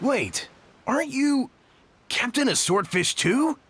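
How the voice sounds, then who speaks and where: A man's voice: very high voice, sing-song, slow pace, fairly bright tone, slight background noise, heavily acted. A man voicing a friendly, admiring young soldier in a video game, effusive and earnest. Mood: excited, grateful. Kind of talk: video game character acting. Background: game music.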